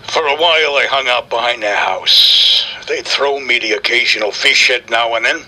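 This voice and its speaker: Casting: male actor as grumpy streetwise stray tomcat for audio comedy, raspy and hard-edged, curt wisecracks over a phone call. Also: mid-range voice, normal speed, bright, forceful tone. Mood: reminiscing, animated. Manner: curt, slangy, impatient wisecracking. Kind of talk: comic audio drama interview.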